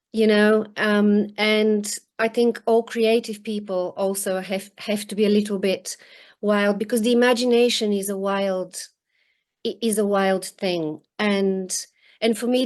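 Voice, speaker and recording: Guest author, woman, forties, from Bulgaria; slow and hesitant delivery over a video link. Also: mid-range voice. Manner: halting, many restarts and ums. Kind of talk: reflective interview answers. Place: home in rural northern countryside. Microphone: video call.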